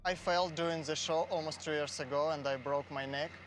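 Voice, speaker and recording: A man in his thirties from Ukraine, speaking English with a Ukrainian accent in an earnest, halting way as a contestant interview. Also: fairly high pitch, fairly even intonation, background noise. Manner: earnest, halting non-native phrasing. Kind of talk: interview piece. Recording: music bed behind.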